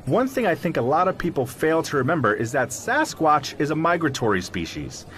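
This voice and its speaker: A man's voice: fairly high pitch, very animated, a little fast, fairly bright tone, slight background noise. A man playing an articulate, self-assured zoologist explaining his field in an audio drama interview, in an emphatic, professorial manner. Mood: confident, explanatory. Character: articulate, self-assured male zoologist who believes in Sasquatch. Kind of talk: audio drama interview, scripted but conversational.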